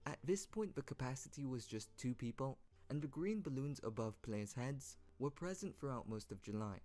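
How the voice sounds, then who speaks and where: A man's voice: mid-range voice, expressive, moderate pace, a little mellow. A man reading scripted, informative narration for an online video. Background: background music.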